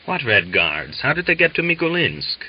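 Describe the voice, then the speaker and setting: A man's voice: on the high side, big pitch swings, moderate pace, slightly rough, voice pushed up. Man voicing an uneasy war-worn Cossack fisherman, muffled. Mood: curious. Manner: questioning, uneasy. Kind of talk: dramatised audiobook dialogue.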